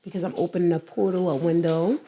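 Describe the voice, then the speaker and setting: Young philly woman rapper, kinda low voice, bold and emphatic. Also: steady tone, quick pace, dark tone, slightly rough. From Philadelphia, USA. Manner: bold, emphatic, faith-driven. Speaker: rapper guest. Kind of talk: casual interview chat.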